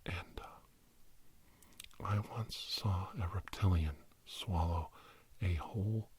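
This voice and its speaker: A man doing rambling ASMR storytelling as a self-styled healing doctor, in a meandering, hesitant, deadpan earnest manner. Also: sits low, slow, husky, no room sound.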